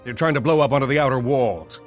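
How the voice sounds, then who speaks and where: An actor playing an urgent human military commander in a video game cutscene, with a clipped, commanding delivery. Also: male voice, mid-range voice, quick pace, soft background music, close to an everyday voice. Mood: grim, urgent.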